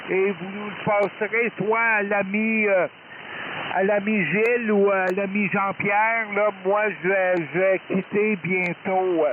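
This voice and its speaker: Through a thin, hissy shortwave link comes a man's high-pitched voice. He talks like a ham operator making contact, courteous and hesitant, often doubling back on his words. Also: flat delivery, a little slow. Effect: shortwave radio transmission. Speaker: amateur radio operator. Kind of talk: unscripted ham radio exchange. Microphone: radio transceiver microphone. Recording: narrowband hissy shortwave radio signal with noise.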